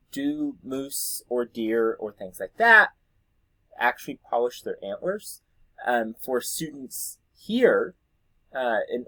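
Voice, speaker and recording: A man's voice: medium pitch, no echo, low-quality recording. A man giving an informal instructional talk, explaining as a teacher would and thinking aloud as he goes. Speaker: science teacher educator presenting to teachers.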